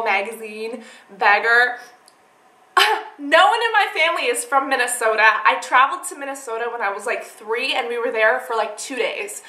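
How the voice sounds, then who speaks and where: A young woman vlogger chatting casually to her viewers in an animated, rambling way. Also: a little high. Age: young adult. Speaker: beauty and lifestyle vlogger. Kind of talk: casual chat answering tag questions to camera. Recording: echoey room.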